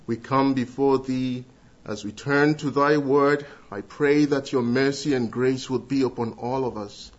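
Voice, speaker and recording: A middle-aged man from Ghana with a Ghanaian accent, giving an earnest church testimony and leading prayer, with pauses and restarts. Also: medium pitch, lo-fi sound. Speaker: guest speaker giving testimony.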